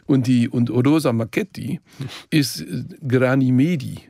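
Gravelly, husky man, enthusiastic radio talker. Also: medium pitch, a little slow, dark tone, no echo, clean studio sound. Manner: enthusiastic, effusive. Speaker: radio co-host and food reporter. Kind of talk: enthusiastic conversational radio talk.

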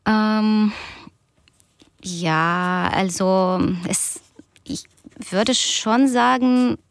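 A woman in her thirties whose first language is Russian, speaking German slowly and carefully. She gives considered answers, full of pauses and small fillers. The recording is close and dry, like a studio microphone. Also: mid-range voice, clean tone, no room sound. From Ukraine. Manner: thoughtful, many pauses and fillers. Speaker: guest author and doctor. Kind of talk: radio interview answers.

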